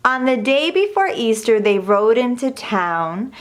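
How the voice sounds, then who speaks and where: A woman reading a rhyming children's picture book aloud in an expressive, deliberate storytelling manner. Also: fairly high pitch. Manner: measured, deliberate storytelling delivery. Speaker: storybook reader.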